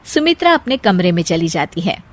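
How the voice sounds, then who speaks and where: A woman's voice: lowish voice, big pitch swings, normal speed. A woman narrating a scripted comic family story as a storyteller.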